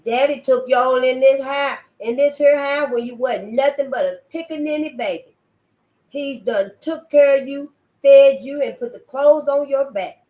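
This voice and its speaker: A woman's voice: high voice, moderate pace, dark tone, voice pushed up, close to an everyday voice. A woman voicing a spiteful young girl who taunts a boy in sassy dialect. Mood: taunting.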